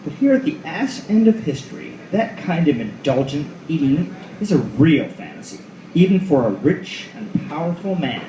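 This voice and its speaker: A man playing a cynical, foul-mouthed noir detective at the end of the world, in a theatrical radio-play style with drawn-out delivery. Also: on the high side, very animated, dark tone, dull audio, slight background noise. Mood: weary, wry. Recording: echoey room, far from mic, music behind.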